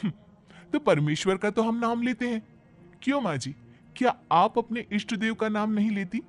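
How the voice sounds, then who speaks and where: Man acting young cartoon wife, high pitch, indignant, sarcastic, argumentative, music behind. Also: animated, normal speed. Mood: defiant. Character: defiant, indignant young city-bred wife.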